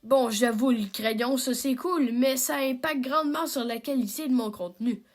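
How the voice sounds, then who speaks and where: A woman's voice: high-pitched, normal speed. Chatty young Québécois woman. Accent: Québécois French. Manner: chatty, self-deprecating, many fillers. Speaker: amateur animator and creator. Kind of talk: casual self-narration and outro.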